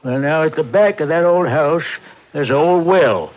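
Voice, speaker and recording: A male actor plays a sly old country man in an old-time radio drama. His voice sits fairly high and he talks in a folksy rural American way, halting and self-pitying as he dodges. The sound is dull vintage radio. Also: expressive, natural voice. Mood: reluctant. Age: older. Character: shifty, self-pitying old backwoods man hiding stolen money. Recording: muffled vintage radio recording.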